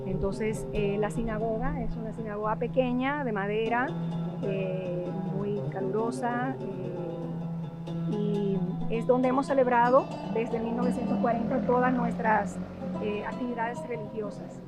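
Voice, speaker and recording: A Dominican woman with a Dominican Spanish accent, answering in a documentary interview. She speaks thoughtfully and often pauses on filler sounds while she searches for words. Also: on the high side. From Dominican Republic. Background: background music.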